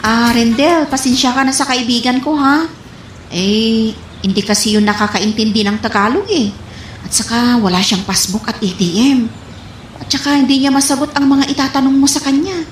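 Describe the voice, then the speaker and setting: A woman's voice: fairly high pitch, fairly even intonation, normal speed, natural voice. A woman with an Ilocano accent playing a boastful, trusting wife with a breezy, dismissive manner in a comedy radio drama. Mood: eager, polite. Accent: Ilocano, from the Philippines. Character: boastful, trusting married woman showing off savings. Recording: echoey room, faint background noise.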